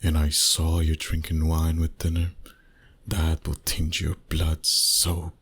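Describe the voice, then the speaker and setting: A man voicing a flirty, dominant vampire boss in an intimate, teasing ASMR roleplay, soft and breathy. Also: very deep voice, completely flat delivery, exaggerated voice. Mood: hungry, seductive. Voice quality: breathy, husky, often near a whisper. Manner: slow, soft, teasing seduction.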